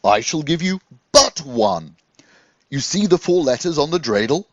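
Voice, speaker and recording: Man playing a bright-spirited, high-pitched storybook rabbi. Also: sing-song, measured pace, no room sound, muffled recording. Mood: encouraging, explaining. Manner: encouraging, teacherly. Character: cheerful welcoming male rabbi, keen storybook teacher.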